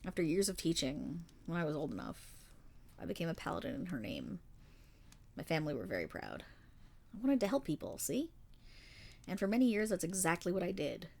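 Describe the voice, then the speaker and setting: Woman acting as a female fantasy paladin, lowish pitch, very animated intonation, gentle formal phrasing, dramatic pauses, kindly, remorseful, roleplay audio. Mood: wistful. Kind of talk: fantasy roleplay audio monologue addressed to the listener.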